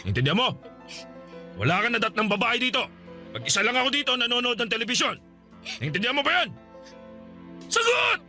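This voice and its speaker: A man's voice: very high voice, big pitch swings, brisk, pauses often, bright, forceful tone, faint music behind, exaggerated voice. A man playing an abusive, hot-tempered middle-aged Filipino uncle in a radio drama, scolding and barking threats. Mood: menacing.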